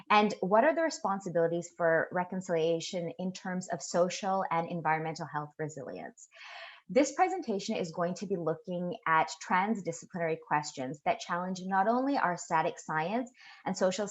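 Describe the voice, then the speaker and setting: A woman hosting a webinar, introducing the speaker in a steady way with occasional fillers. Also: on the low side, lo-fi sound. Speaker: webinar host.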